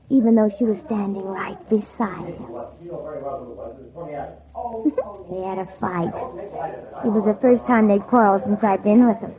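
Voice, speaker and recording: A woman's voice: medium pitch, close to an everyday voice. An actress playing a spiteful, scheming teenage girl in a 1940s radio drama, by turns falsely innocent and coldly bitter. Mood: sly narrating. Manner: feigned sweet innocence turning coldly bitter. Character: scheming, spiteful teenage girl narrating her own revenge. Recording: muffled, tinny old 1940s radio recording.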